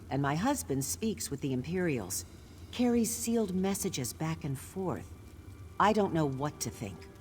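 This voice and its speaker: A troubled, discreet wife from a fantasy video game. Her delivery is earnest and guarded, with very animated pitch. Faint music plays beneath her words. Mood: anxious, sorrowful. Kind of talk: scripted game character dialogue.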